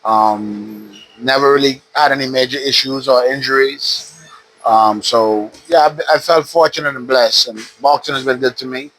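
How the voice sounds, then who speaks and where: A man in his fifties from the Bahamas, a retired boxer calmly telling his story in an interview at a boxing gym. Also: mid-range voice, normal speed, low-quality recording. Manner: relaxed, steady storytelling with frequent "uh". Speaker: retired pro boxer, guest. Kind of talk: casual interview.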